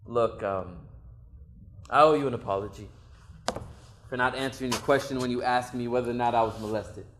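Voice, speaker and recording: A young actor playing a troubled, guarded man who answers quietly and hesitantly in a stage play. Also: mid-range voice, fairly even intonation, brisk, noisy background, natural voice. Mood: sheepish. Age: young adult.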